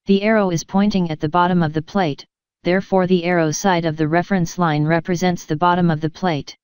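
A woman instructor narrates a scripted technical lesson in a calm, even, measured manner. Her voice is slightly low and very clear and clean. The recording is dry and close, with no room sound. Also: no room sound, lo-fi sound. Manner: measured, even explanatory delivery.

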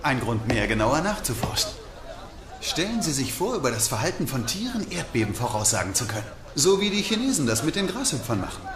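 A man's voice: mid-range voice, big pitch swings, a little fast, echoey room, a crowd in the background, close to an everyday voice. An actor playing an eager, stubborn and playful young male scientist in docudrama dialogue. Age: young adult.

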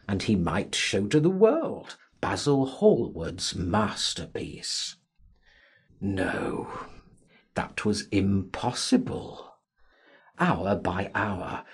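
A man narrating a literary audiobook in a dramatic, theatrical style with deliberate phrasing. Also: mid-range voice, vocal fry, a little mellow, slightly rough.

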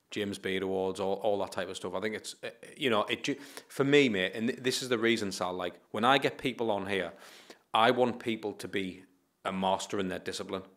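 English guy in his 30s hosting a podcast, talks quick, lowish voice, keen and chatty. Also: fairly bright tone. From England. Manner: enthusiastic, chatty, says mate. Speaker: podcast host. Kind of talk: casual interview talk. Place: dark podcast studio. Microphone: studio mic on boom arm.

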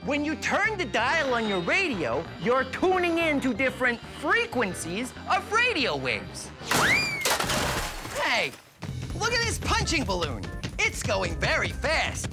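A man's voice: very high voice, wildly swooping pitch, unhurried, over loud music, heavily acted. A man playing a quirky, nerdy shop salesman, explaining in a comic, theatrical and scatterbrained way for children's TV. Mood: eager, explaining. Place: cluttered shop set, indoors.

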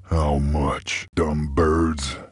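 An actor playing a gruff, laconic creature bounty hunter in a video game, with a gravelly voice and terse, folksy cowboy drawl. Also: male voice, a bass voice, flat delivery, drawn-out words, no room sound, studio quality, exaggerated voice. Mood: gruff, matter-of-fact. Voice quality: gravelly, husky. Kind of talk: video game character voice lines.

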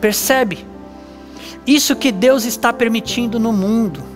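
Brazilian pastor guy, 30s, slow earnest sermon with pauses, faint music underneath. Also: extremely high pitch. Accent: Brazilian Portuguese. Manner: earnest, measured preaching with emphatic pauses. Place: dark church stage with lights, indoors.

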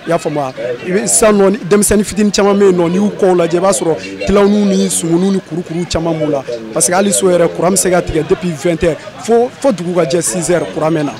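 A young phone repair worker answering a street interview at his shop front, animated and gesturing, speaking into a handheld mic. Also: high-pitched, fast talker. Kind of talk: vox pop answer. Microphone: handheld mic held by interviewer. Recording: crowd noise behind.

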